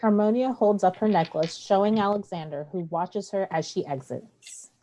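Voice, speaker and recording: A woman's voice: mid-range voice, normal speed, warm tone, a little hiss or hum, low-quality recording. A woman narrating stage directions in a scripted play reading.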